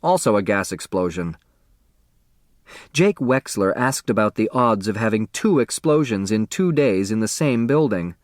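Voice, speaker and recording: Male narrator, mid-range pitch, very animated intonation, scripted children's novel reading, dry sound. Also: normal speed, few pauses, dry recording. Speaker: audiobook narrator.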